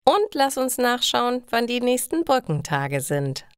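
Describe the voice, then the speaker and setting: A cheerful, upbeat woman friend in a storybook, put on by a female reader. Her words are clearly enunciated and unhurried, with a dry, close sound. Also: fairly high pitch, sing-song, no echo, voice pushed up. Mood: enthusiastic.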